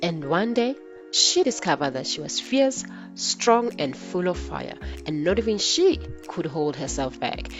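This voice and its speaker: Expressive woman narrating over prominent music. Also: fairly low pitch, unhurried, highs cut off. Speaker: podcast host. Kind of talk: scripted inspirational intro narration.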